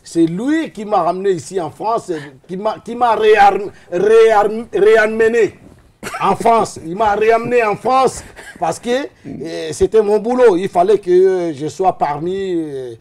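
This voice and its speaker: A man's voice: sits high, measured pace, darkish tone, a little hiss or hum. A man born in Liège, talking as a guest in a casual interview, rambling and hesitant with many fillers. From Liège, Belgium.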